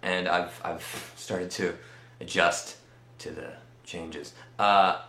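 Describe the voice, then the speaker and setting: A man with a Swedish accent telling a personal story in a casual, rambling vlog style, halting and pausing often as he goes. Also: deep voice, steady tone, fairly bright tone, reverberant. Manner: halting, conversational delivery with many pauses. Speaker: vlogger.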